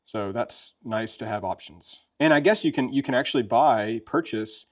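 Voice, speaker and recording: A man's voice: mid-range voice, normal speed. A man presenting a gear review in a casual, folksy, conversational way, as if showing a friend how it works. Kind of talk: casual product demonstration chat.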